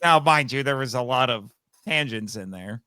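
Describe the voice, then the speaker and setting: A man's voice: medium pitch, animated, normal speed, sharp tone, smooth. A man speaking casually as a voice-actor guest on a podcast.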